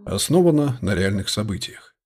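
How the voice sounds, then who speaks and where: A man's voice: on the low side, very animated, moderate pace, darkish tone, husky, soft background music. A man reading a detective novel aloud as scripted audiobook narration.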